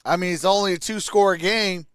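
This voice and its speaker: Male sports streamer, high voice, dry close sound. Informal, clipped play calls and fan chat. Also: fairly even intonation, normal speed, fairly bright tone, clear, resonant tone, no echo. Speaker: live stream sports host.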